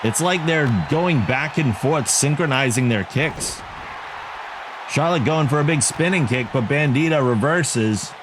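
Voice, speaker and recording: A man giving excitable wrestling play-by-play commentary for a video game league, joking about himself between calls. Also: mid-range voice, unhurried. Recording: game crowd and noise behind the voice. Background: video game arena crowd.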